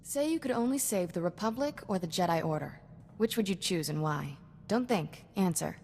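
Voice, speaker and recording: A woman's voice: lowish voice, lively intonation, moderate pace, a little mellow, slight background noise. Sassy young woman Jedi in a reverberant space. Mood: playful, cocky. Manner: wry, clipped, breezy banter. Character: sassy, cocky young female Jedi sidekick. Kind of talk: video game voice acting.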